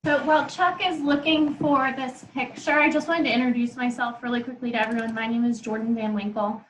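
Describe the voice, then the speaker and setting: A woman's voice: fairly high pitch, steady tone, fairly quick, slight background noise, poor audio. A woman speaking as an inside sales representative, in an informal business presentation on a conference call.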